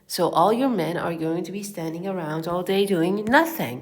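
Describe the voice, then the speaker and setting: A woman voicing a patient, logical man in a comic novel, reasoning and coaxing calmly. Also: lowish voice, normal speed, voice pushed down, lightly acted.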